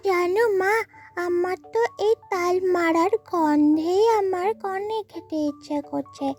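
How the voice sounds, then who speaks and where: A woman's voice: very high-pitched, measured pace, a little mellow, soft background music. A woman voicing an eager, pleading little village girl in a cartoon. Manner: childlike, wheedling. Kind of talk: cartoon voice acting.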